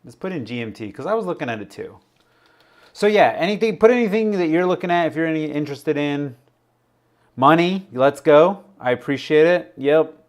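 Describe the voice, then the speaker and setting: A man casually hosting a livestream, rambling, laughing often and reading out viewer chat, his voice a little raspy. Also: on the high side, expressive, fairly quick. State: slightly raspy voice. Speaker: livestream host.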